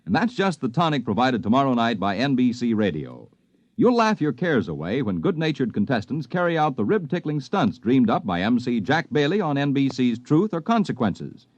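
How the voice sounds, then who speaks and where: A man's voice: medium pitch, very animated, normal speed, no room sound. A man working as a polished radio announcer, delivering upbeat promotion in 1950s style. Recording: dull 1950s radio recording.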